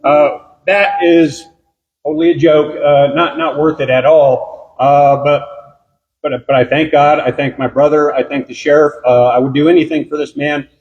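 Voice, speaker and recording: A man who is a law officer speaking at his own honoring ceremony, loud-voiced, halting and earnest. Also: on the high side, little pitch movement, highs cut off. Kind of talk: ceremony speech.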